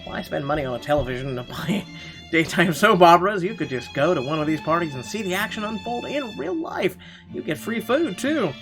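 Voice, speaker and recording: A man's voice: high voice, very animated, fairly quick, a bit bright. A man narrating a visual novel game as he plays, adding casual, sardonic commentary. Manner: wry, sardonic asides. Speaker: let's player. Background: game music.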